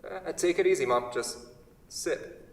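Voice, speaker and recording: A man's voice: high-pitched, unhurried, vocal fry. Man playing an earnest young son, distant and echoey. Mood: worried, reluctant. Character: earnest young college-student son, gently protective. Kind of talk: staged play reading.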